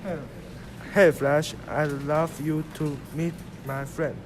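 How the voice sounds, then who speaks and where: A man's voice: a little high, steady tone, takes it slowly. A man playing a sly male fox who teases in a leisurely way in an amateur radio play. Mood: sly, teasing. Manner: teasing, leisurely. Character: sly, teasing male fox, smooth talker. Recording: noisy amateur recording.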